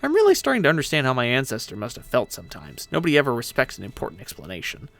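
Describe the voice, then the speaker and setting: A man's voice: medium pitch, huge pitch swings, rapid-fire, vocal fry, fairly bright tone, exaggerated voice. A man voicing a brash, sarcastic, boastful female pirate ghost in a dramatic story reading. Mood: exasperated, loud. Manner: impatient, sarcastic, swearing.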